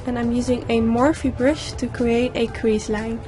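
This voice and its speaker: A young woman with a slightly hoarse voice from a sore throat, calmly explaining each step of a makeup tutorial in a voice-over. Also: fairly high pitch, measured pace, music in the background. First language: Dutch. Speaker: makeup YouTuber.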